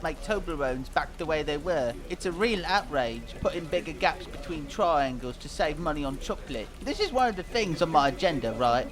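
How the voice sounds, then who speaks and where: A man's voice: a little high, fairly bright tone. A man voicing a dim, earnest cartoon pop singer, rambling vaguely through a mock interview. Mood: puzzled, rambling. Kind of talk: mock interview fan dub.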